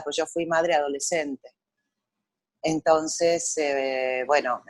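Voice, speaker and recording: A middle-aged woman from Argentina with an Argentine accent, a photographer telling her story in an interview, pausing often with fillers as she remembers. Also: deep voice. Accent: Argentine Spanish. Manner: reflective storytelling with frequent pauses and fillers. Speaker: guest photographer. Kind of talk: conversational interview over a call.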